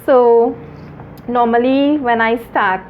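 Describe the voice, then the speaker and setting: A woman hosting a talk show, earnest and measured, laughing often with her guest. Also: fairly high pitch, fairly even intonation, narrow, tinny audio, slight background noise. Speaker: host.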